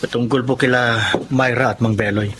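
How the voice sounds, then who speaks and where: An actor playing an earnest, respectful grown son with a Filipino accent in a radio drama. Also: male voice, medium pitch, fairly even intonation, brisk, noisy background, lightly acted. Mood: earnest reproach.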